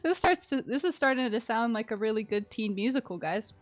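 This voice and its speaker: A woman's voice: a little high, expressive, fairly quick, faint music behind. A woman streaming a game with casual, chatty, giggly and playfully dramatic commentary.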